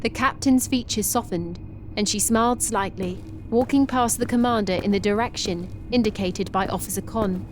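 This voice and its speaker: A woman reading a science-fiction story aloud in a steady, descriptive way. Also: medium pitch, moderate pace, slight background noise, rough recording. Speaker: narrator. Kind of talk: scripted story narration.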